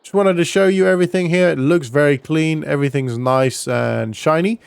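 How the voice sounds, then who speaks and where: A man's voice: fairly high pitch, normal speed, gravelly, clear, resonant tone, no room sound. A man giving casual, conversational roleplay gameplay commentary, explaining things as a gaming vlogger.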